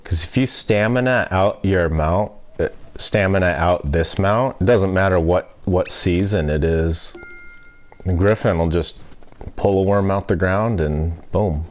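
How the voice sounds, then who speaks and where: Stuffy young guy streaming a game, super low voice, unhurried rambling chat. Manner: relaxed, rambling, self-deprecating. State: stuffy nose and throat. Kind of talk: casual gameplay commentary. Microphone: desk mic.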